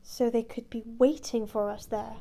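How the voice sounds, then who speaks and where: An actress playing a calm, supportive time traveller's companion in an audio drama, measured and a little hesitant. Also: female voice, a little high, creaky, a little hiss or hum. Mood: concerned.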